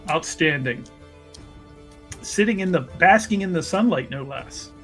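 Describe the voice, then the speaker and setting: A man's voice: a little high, expressive, unhurried, fairly bright tone, faint music behind. A man casually narrating his character's moves in a tabletop roleplaying game, with wry banter.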